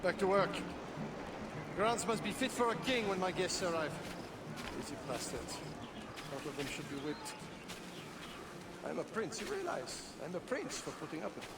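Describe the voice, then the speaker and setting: High-voiced pompous colonial governor. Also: male voice, expressive, moderate pace, pauses often, very noisy. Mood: contemptuous. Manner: pompous, sneering. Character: pompous, contemptuous colonial governor, male aristocrat. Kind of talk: video game cutscene acting.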